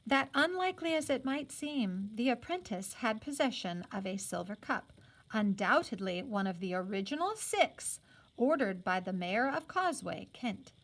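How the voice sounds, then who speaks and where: High-voiced, very animated woman reading a story. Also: moderate pace. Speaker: teacher reading a book aloud. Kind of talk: expressive story read-aloud with brief explanatory asides.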